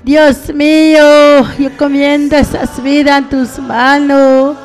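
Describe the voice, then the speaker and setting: A woman with a very high voice leads a fervent intercessory prayer. She pleads slowly and evenly, breaking her prayer into short repeated appeals to God. Faint music plays behind her. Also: little pitch movement, poor audio. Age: middle-aged or older. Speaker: evangelical preacher praying on a radio programme.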